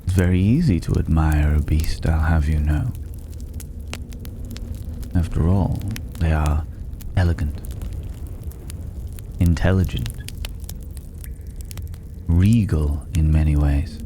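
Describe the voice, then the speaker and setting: Man playing a very deep-voiced, languid mysterious stranger. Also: fairly even intonation, warm tone, heavily acted. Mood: reflective, admiring. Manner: languid, teasing, condescending, with drawn-out pauses. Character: mysterious male stranger dwelling in a dragon's hoard, mocking, worldly. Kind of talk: ASMR fantasy roleplay audio drama. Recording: clearly noisy ambient background.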